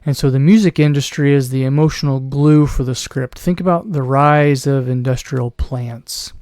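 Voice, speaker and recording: A man hosting a solo commentary podcast, losing his voice, rambling with restarts and clearing his throat. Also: medium pitch, steady tone, moderate pace, a little mellow, no room sound, clean studio sound. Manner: mocking put-on voices in quoted asides. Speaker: podcast host.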